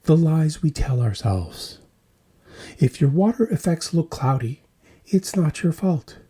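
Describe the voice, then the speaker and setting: A man's voice: a little high, expressive, measured pace, dark tone, slightly rough. A man hosting a scripted, tongue-in-cheek news roundup in short, punchy phrases. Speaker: host of a tabletop wargaming hobby news podcast. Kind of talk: scripted comedic news roundup with mock commercials.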